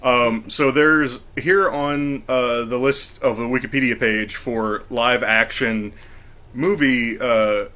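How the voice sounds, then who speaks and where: Bright-voiced man in casual podcast discussion. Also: medium pitch. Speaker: podcast co-host.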